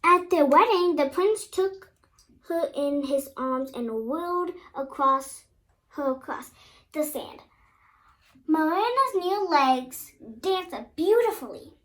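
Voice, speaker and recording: A woman reading a children's story aloud, haltingly, with stumbles and repeated words. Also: high voice, animated, fairly bright tone.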